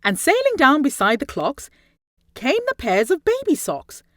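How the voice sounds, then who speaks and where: A woman reading a rhyming children's story aloud in an expressive, theatrical way with deliberate phrasing and dramatic emphasis. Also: high voice, no room sound, studio quality. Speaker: storybook reader.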